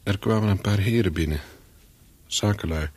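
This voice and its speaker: An actor playing a wary, calculating spy on the run, narrating a radio play in terse sentences with a husky voice. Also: male voice, fairly low pitch, normal speed, a little mellow, no echo. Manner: terse, brooding, clipped sentences. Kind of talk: first-person radio play narration with dialogue.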